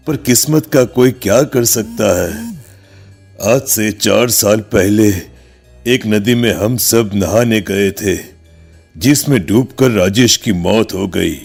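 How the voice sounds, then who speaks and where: Slow-talking weary ghost played by a man. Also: fairly low pitch, creaky, exaggerated voice. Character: weary ghost man, matter-of-fact pallbearer with a tragic past. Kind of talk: Hindi cartoon horror story acting.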